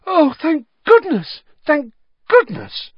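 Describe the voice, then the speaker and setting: A man reading an audiobook, voicing a frantic, doting middle-aged mother with shrill, sobbing outbursts. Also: huge pitch swings, slow, dry recording, full character voice. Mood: frantic relief. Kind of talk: audiobook character voice. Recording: dull dry lo-fi recording.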